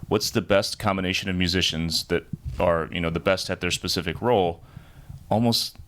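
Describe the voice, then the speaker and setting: A man's voice: deep voice, normal speed, a bit bright. A man from St. Louis who plays in a band, talking in a reflective way and often searching for his words. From St. Louis, USA. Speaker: band member. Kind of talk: casual podcast chat.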